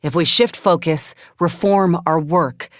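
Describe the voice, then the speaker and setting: A woman's voice: on the low side, a little slow, dry recording, clean studio sound, lightly acted. An actress playing a determined, scrappy soldier woman in a video game, with blunt, folksy frontier speech. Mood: earnest, persuasive. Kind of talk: video game character dialogue.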